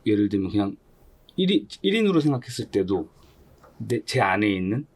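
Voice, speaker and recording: A man with a fairly low voice takes part in a podcast discussion. He sounds informal and contemplative, phrasing his ideas tentatively. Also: sing-song, a little fast, darkish tone, breathy edge. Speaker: podcast panelist.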